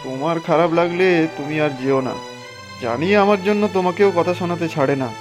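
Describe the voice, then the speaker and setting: Man acting a higher-voiced humble poor husband. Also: brisk, music in the background, natural voice. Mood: mild, resigned. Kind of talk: cartoon voice acting.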